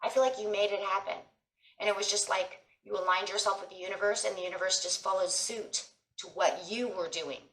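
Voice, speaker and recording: A woman with a hoarse voice from a sinus infection, giving a casual tarot reading and speaking encouragingly to viewers as friends. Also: mid-range voice, fairly even intonation, fairly quick, fairly bright tone, echoey room, low-quality recording. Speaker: tarot reader. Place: table with tarot cloth, indoors.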